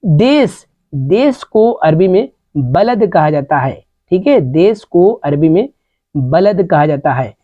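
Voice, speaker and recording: Slow, lively-pitched woman teaching phrases. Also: no room sound. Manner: repeats each phrase several times, slowly and deliberately. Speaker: Arabic language teacher. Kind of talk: slow repetitive vocabulary lesson. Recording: dull, lo-fi sound.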